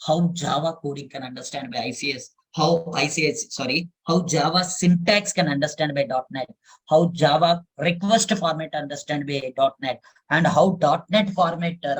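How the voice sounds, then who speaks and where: A man with an Indian English accent, a native Telugu speaker, giving a live online software lesson, repeating phrases and using many fillers. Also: a little high, normal speed, rough recording. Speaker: software trainer.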